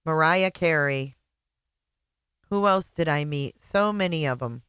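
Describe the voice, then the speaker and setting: A woman giving casual reaction commentary on old interview clips, chatty with quick asides. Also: deep voice, a bit bright, smooth, dry recording, clean studio sound.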